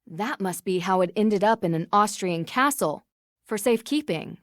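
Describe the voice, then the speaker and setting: An actress plays a young woman detective in a video game. She is earnest and methodical, with a mid-pitched voice and determined manner. The recording is very dry. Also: lively intonation, moderate pace, no echo, lightly acted. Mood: explaining. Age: young adult. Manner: clear-headed, methodical, explanatory.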